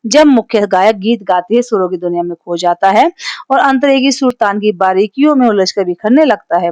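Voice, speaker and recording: A woman's voice: fairly high pitch, a little mellow, dry recording. A woman with a Hindi accent teaching a class, explaining a poem line by line in a patient, explanatory way and checking in with her listeners often. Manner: explanatory, frequent "theek hai" check-ins.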